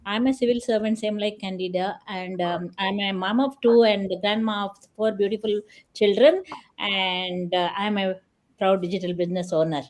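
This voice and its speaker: Older female panelist from India, medium-pitched, measured and earnest, speaking on a livestream call. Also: fairly even intonation, darkish tone, slight background noise. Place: remote online livestream call.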